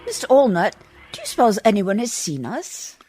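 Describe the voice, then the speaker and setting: A woman's voice: mid-range voice, huge pitch swings, normal speed, music in the background. A woman acting in a radio play as a prim, determined missionary lady, speaking in a formal, proper and resolute manner. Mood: polite, reserved.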